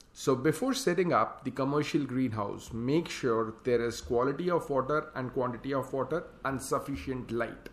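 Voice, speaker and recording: A man's voice: medium pitch, reverberant. A man giving a slide lecture on farming, reading the slide text aloud and then explaining it in plain words. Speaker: hydroponics farm consultant presenting slides.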